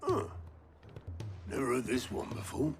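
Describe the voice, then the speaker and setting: A British actor playing a gruff, wry middle-aged man in a fantasy video game, blunt and colloquial. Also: medium pitch, huge pitch swings, measured pace, full character voice. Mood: wry, musing. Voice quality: gravelly, creaky. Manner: blunt, colloquial, dryly teasing. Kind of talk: cinematic video game acting. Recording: music behind the voice.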